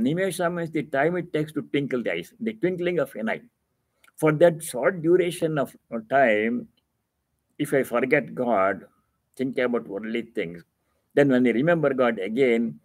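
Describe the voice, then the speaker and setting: A male swami with an Indian accent delivers a devotional discourse in a mid-pitched voice. He speaks didactically, pausing deliberately and restating key phrases for emphasis. The sound is dry and close, with little room reflection. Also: a little mellow, no room sound. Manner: explains Sanskrit terms didactically. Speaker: swami giving a religious discourse. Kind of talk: scripture reading with devotional commentary.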